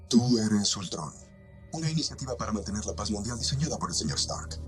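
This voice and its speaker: An actor with a Mexican accent playing a polite, composed artificial intelligence in a measured, formal film dub. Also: male voice, fairly low pitch, expressive, faint music behind, lightly acted. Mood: calm, explanatory.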